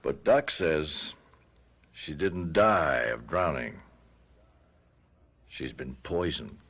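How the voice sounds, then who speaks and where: Very deep-voiced grim sheriff. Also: male voice, expressive, very slow, a bit bright, breathy edge, full character voice. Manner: terse, grim, understated. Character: stern, measured male small-town sheriff breaking grim news. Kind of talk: radio mystery drama acting.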